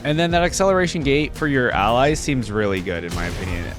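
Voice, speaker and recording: A man's voice: mid-range voice, animated, fast, music in the background. A man hosting a reaction video with casual, conversational commentary, thinking aloud and qualifying his opinions as he goes.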